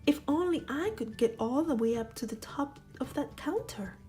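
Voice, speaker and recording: A woman's voice: high voice, lively intonation, moderate pace, mellow, soft background music, voice pushed up. Goldilocks, a bold, greedy little girl voiced by a woman.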